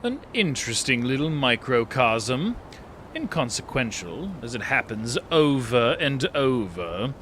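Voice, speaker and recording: A man's voice: medium pitch, very animated, measured pace, fairly bright tone, a little hiss or hum. A man voicing an aloof feline wanderer, musing with philosophical detachment in a storybook monologue. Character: aloof, musing male feline traveler watching mortal affairs.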